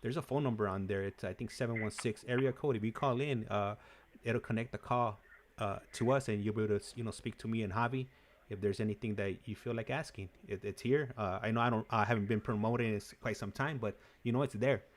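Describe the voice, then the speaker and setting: A man hosting a call-in show in casual chat, speaking haltingly with frequent stammered repetitions. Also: fairly low pitch, fairly even intonation.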